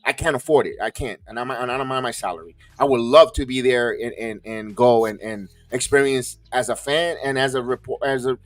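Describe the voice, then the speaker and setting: A man's voice: mid-range voice, brisk, hardly any pauses, no room sound. A fired-up man hosts a live podcast, tripping over his words as he rants. His voice sits dry and close to the mic. Faint music plays underneath. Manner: fiery, stumbling repeats, swears. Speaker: podcast host. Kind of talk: heated wrestling podcast rant.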